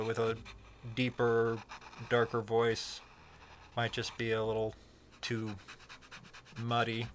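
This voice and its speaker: A man with a fairly low voice, chatting casually on a livestream and rambling with many fillers and restarts. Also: a bit bright, slight background noise. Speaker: streamer talking about microphones.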